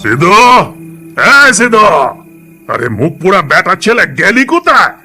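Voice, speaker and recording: A man's voice: high-pitched, sing-song, measured pace, sharp tone, faint music behind, heavily acted. A man playing an impatient village boss at a cremation ground, scolding and calling out in a cartoon. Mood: irritated.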